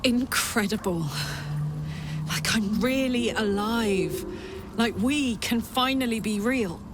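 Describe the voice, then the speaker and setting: A woman's voice: on the high side, slow, background noise. A woman acting a tough, big-hearted, defiant warrior in a fantasy video game, frank and emotionally open. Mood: awed, tender.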